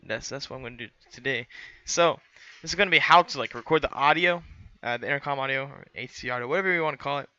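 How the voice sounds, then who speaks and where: A man's voice: medium pitch, fast talker, hardly any pauses, pushed and bright, dull audio. A young man explaining a how-to in a casual, rambling way, full of fillers and restarts, on a headset mic in a small room. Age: young adult. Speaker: student pilot vlogger. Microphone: headset boom mic.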